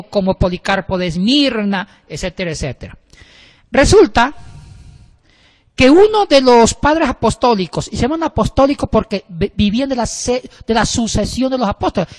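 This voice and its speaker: A man explaining church history in a casual discussion, often restarting his sentences. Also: high voice. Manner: explanatory, with frequent restarts and hesitations. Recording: long reverb.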